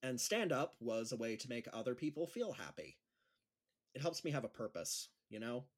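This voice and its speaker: A man's voice: medium pitch, big pitch swings, moderate pace. A man playing an awkward, self-deprecating schoolteacher in a naturalistic audio drama, with a hesitant manner. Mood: earnest, wistful. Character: awkward, self-deprecating male schoolteacher trying stand-up comedy.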